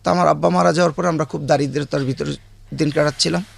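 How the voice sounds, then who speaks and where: A man with a rural Bangladeshi accent, a guest recounting childhood memories in a halting way, often correcting himself. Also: fairly high pitch, fairly even intonation, creaky.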